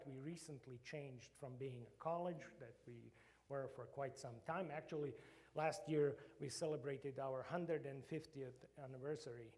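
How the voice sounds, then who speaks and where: A man's voice: medium pitch, a little mellow. A middle-aged man from Croatia with a Croatian accent, a professor giving an informal lecture to a church group, hesitant with many fillers and restarts. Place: church meeting room.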